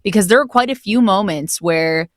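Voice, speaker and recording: A woman's voice: medium pitch, normal speed, no echo, clean studio sound. A woman who narrates audio drama, chatting casually and enthusiastically as a guest, reflecting on her work. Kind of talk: casual conversational interview.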